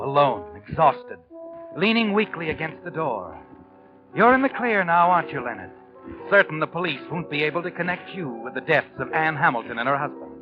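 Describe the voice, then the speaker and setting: A sinister, all-knowing mystery storyteller taunts the guilty with dramatic swoops of a slightly high voice. It is 1940s radio suspense, on a dull vintage recording with music behind. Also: male voice. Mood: mocking. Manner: taunting, dramatic second-person address.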